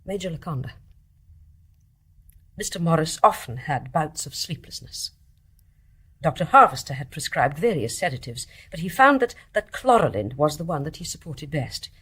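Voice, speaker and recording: An actress playing a formal, insistent, dutiful British private nurse in a radio drama. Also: female voice, low-pitched, sing-song, moderate pace, a bit bright, breathy edge, full character voice. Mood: grave, precise. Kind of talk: British stage-play radio acting.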